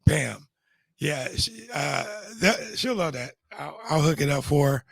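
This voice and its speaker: Gravelly high-voiced man, losing his voice. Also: lively intonation, measured pace, a bit bright, dry recording. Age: middle-aged. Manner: rambling, frequent restarts and fillers. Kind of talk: casual chat.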